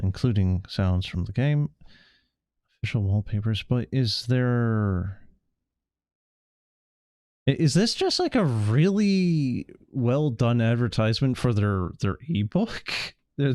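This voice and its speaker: A man's voice: medium pitch, darkish tone, no room sound, studio quality. A man doing casual game stream commentary in a hesitant way, stammering and repeating words as he goes. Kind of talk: casual stream commentary, sometimes reading text aloud.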